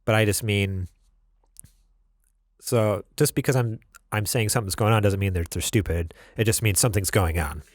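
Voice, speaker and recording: Chill dude on a gaming podcast, kinda low voice, reads the news then riffs on it, dry close sound. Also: male voice, normal speed, no room sound. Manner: laid-back, reads stories aloud with asides. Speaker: podcast co-host. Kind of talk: casual podcast chat, reading news.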